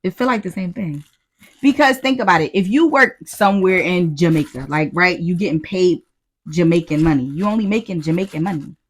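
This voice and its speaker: An American woman co-hosting a podcast, telling stories casually in slangy, profane, animated talk with quoted asides. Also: fairly low pitch, fairly quick. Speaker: podcast co-host. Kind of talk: casual chat, storytelling.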